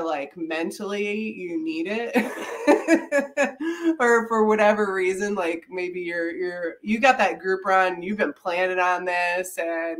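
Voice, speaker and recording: Podcast co-host, woman with a lower voice, congested from a head cold, relaxed and chatty. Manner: chatty, laughs often, many fillers. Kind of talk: casual chat.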